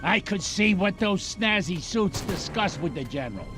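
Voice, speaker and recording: A man playing a wistful schemer musing aloud to himself in a video game, in a deliberate manner. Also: high-pitched, lively intonation, music in the background. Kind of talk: video game cutscene acting.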